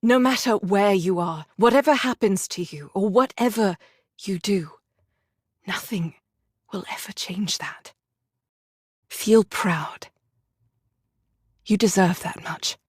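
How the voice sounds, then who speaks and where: An actress voicing a gentle, sorrowful young woman soldier in a video game, speaking tenderly and earnestly. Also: mid-range voice, slow pace, long pauses, slightly rough, dry recording, clean studio sound. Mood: solemn, tender. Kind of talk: dramatic game voice acting.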